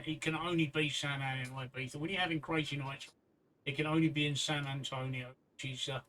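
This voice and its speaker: A man talks in a rambling, jokey way, often repeating himself. His voice is bright and forceful, somewhat raised in pitch, and he sits a little away from the microphone. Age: 60s. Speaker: co-host of a livestream talk show. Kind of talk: casual chat. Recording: voice somewhat far from the mic.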